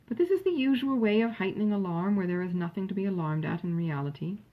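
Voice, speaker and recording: A woman's voice: mid-range voice, expressive, quick pace, dull audio. A woman reading as a blunt, scornful young lady in a storybook, frank and emphatic. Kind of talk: acted dialogue in a storybook reading.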